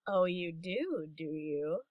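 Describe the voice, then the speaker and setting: A woman's voice: lowish voice, lively intonation, slow, no room sound, dull audio. A woman voicing a brash young DJ pony, casual and teasing, in an audio story. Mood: wry, teasing. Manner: casual, cheeky, sardonic. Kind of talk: character acting in audio story reading.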